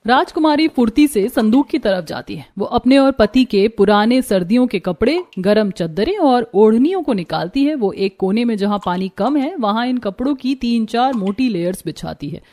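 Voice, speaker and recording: A woman's voice: fairly high pitch, animated, moderate pace, few pauses, warm tone. A woman narrating a scripted cartoon story.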